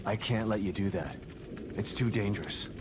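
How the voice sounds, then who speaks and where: Man acting deep-voiced, grim, hard-boiled game detective. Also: normal speed, background noise, close to an everyday voice. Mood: guarded. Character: grim, determined middle-aged male detective haunted by loss. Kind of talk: dramatic video game acting. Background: music.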